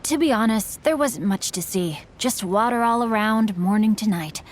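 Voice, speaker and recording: A woman's voice: on the high side, slight background noise, close to an everyday voice. A woman playing a courteous young swordsman-author. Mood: polite. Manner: courteous, formal and measured. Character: young male swordsman and novelist, polite and bookish. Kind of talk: video game voice acting.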